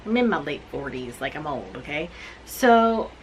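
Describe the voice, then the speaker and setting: A woman in her forties recapping books she has read to camera, blunt, animated and self-mocking. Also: low voice, normal speed, a little hiss or hum, poor audio. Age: 40s. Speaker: book reviewer. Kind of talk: casual book recap to camera.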